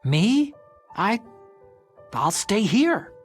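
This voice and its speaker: An actor voicing an earnest, nerdy male engineer sidekick in a video game, slightly hesitant but quietly brave. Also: high voice, sing-song, very slow, husky, faint music behind, exaggerated voice. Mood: determined. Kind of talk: dramatic video game voice acting.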